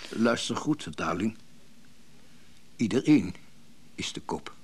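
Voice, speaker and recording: A man's voice: fairly low pitch, expressive, slow pace, darkish tone, husky, exaggerated voice. An actor playing a coaxing, menacing man who believes anyone can be bought, in an intimate radio drama. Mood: coolly menacing. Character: smooth-talking, menacing suitor-like buyer of people.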